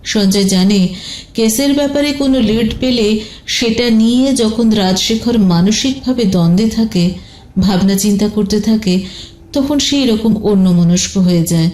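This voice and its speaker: A woman delivers scripted, dramatic story narration as an audiobook-style narrator. Her voice sits at a middle pitch, and the track has a noticeably echoing, roomy sound. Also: measured pace, darkish tone.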